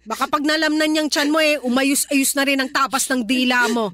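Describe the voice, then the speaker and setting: An actress playing a sharp-tongued middle-aged mother who nags and scolds her grown daughter, in a radio drama. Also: high voice, steady tone, brisk. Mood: sarcastic. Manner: nagging, scolding, sarcastic. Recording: radio drama with music bed.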